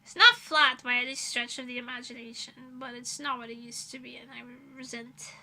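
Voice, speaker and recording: A woman's voice: on the high side, steady tone, brisk, sharp tone, slight background noise, low-quality recording. A young woman livestreamer chatting casually in an informal, self-deprecating, rambling way.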